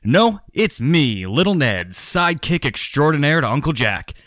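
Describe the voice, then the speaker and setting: An actor playing a self-deprecating sidekick standing in as a radio call-in host, with chatty showmanship. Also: male voice, on the high side, very animated, normal speed, fairly bright tone, no echo, clean studio sound. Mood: nervous cheer. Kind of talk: parody radio call-in presenting.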